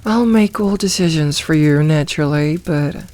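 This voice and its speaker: A woman voicing a possessive, teasing, dominant demoness in a soft, intimate ASMR roleplay, commanding and deliberate. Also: deep voice, no room sound, natural voice. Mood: firm, indulgent.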